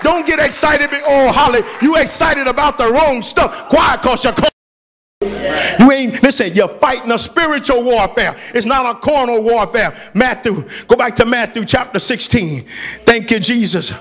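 A male preacher with a very high-pitched voice delivers a fiery sermon. He exhorts in plain colloquial speech, hammering phrases through repetition and moving between scripture and his own emphatic retellings. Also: moderate pace, fairly bright tone. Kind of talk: fiery sermon with scripture readings and acted quotes.

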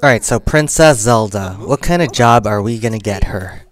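A man's voice: on the low side, lively intonation, moderate pace, faint music behind. A young man casually commenting on his life-simulation game as he plays, thinking aloud and making choices. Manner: chatty, thinking aloud, counting and deciding as he plays. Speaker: gaming channel host. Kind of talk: casual let's-play commentary.

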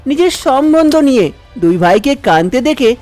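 A man's voice: very high-pitched, big pitch swings, fairly quick, a little mellow. A man narrating a scripted cartoon folk story. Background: faint background music.

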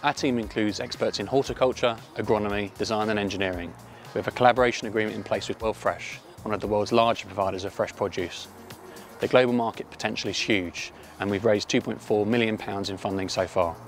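A man giving scripted promotional narration as a company spokesman, in a measured presenting manner. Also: on the low side, fairly even intonation. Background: music.

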